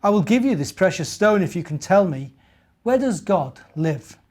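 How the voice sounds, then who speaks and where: A pondering stranger from a children's storybook, read by a man. He speaks in a slightly high voice with thoughtful, deliberate phrasing. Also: lively intonation, a little mellow. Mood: questioning.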